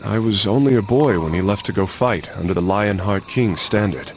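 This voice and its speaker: A man's voice: fairly low pitch, moderate pace, slight background noise, lightly acted. An actor playing an earnest, stubborn young crusader nobleman in a video game, serious and resolute. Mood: somber. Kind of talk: video game cutscene acting.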